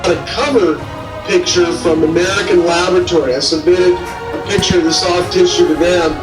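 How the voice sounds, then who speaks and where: A man's voice: high voice, fairly even intonation, moderate pace, loud music behind the voice. A male scientist recounting his own experience in an interview. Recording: echoey room, distant microphone.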